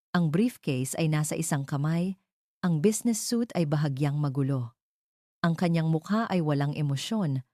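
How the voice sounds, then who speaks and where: A woman storyteller with a low, mellow voice reads a dramatic love story. She moves in measured, short phrases, and the sound is dry and close. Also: no room sound. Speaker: story narrator. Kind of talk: dramatic scripted storytelling narration.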